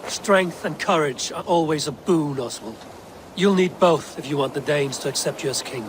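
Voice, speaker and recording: An actor playing a seasoned Viking warrior who speaks in a measured, commanding way. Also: male voice, fairly high pitch, background noise, natural voice. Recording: game cutscene with loud ambience.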